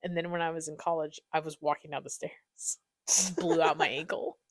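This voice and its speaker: A woman's voice: sits low, level tone, moderate pace, no room sound. A young woman hosting a podcast, chatting casually in a teasing, slangy way with some swearing. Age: young adult. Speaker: podcast host. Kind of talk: casual banter with a podcast guest.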